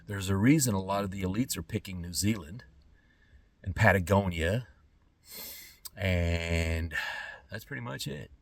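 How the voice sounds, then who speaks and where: A middle-aged man speaking an unscripted podcast monologue in emphatic, halting phrases with pointed pauses. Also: low-pitched, gravelly, far from the mic. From born in Trinidad and Tobago, lived in California. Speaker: podcast host.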